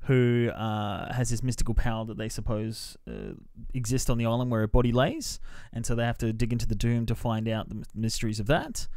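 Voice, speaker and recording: A man hosting a podcast, explaining things in a halting, informal way as part of a casual film review chat. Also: mid-range voice, a little mellow, no room sound, studio quality.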